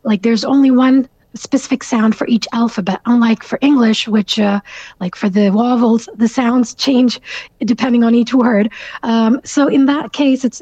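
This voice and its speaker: A young woman, raised in Canada and speaking Urdu as her first language, answers interview questions with a slightly high, mellow voice. She pauses often and fills gaps with soft ums and uhs. The sound is dry and a bit muffled, like lo-fi remote audio. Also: no room sound. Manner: thoughtful, many fillers, soft laughs. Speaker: guest author.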